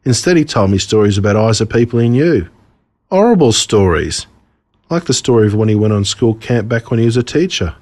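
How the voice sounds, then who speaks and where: Australian male storyteller, slightly deep and raspy, deliberate and theatrical delivery for horrible children's tales. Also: animated, a little mellow. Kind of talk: scripted storybook narration told as a boy.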